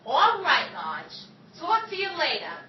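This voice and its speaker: A woman playing a gossipy, flattering telephone chatterer in a 1930s-style radio comedy, gushing and exaggerated. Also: high voice, unhurried, full character voice. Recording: dull, echoey hall, distant mic, audience noise.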